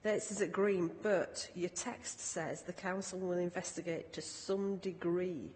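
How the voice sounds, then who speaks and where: A woman with a croaky voice, speaking formally and haltingly as a councillor in a committee meeting. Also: fairly low pitch, steady tone, darkish tone. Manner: hesitant, halting. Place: large indoor council meeting room. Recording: echoey hall with background noise.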